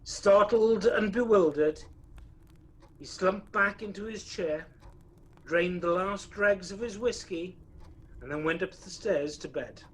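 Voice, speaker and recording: A man's voice: high voice, slow, breathy edge, full character voice, poor audio. A man acting as narrator of a radio play, telling a ghost tale in a dramatic, wry storytelling manner.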